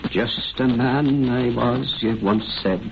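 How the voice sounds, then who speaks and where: A man's voice: medium pitch, slow, gravelly, background noise. An actor playing a lonely, remorseful old Irish father, solemn and mournful in an old-time radio horror drama. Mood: grieving. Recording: dull old radio recording with music.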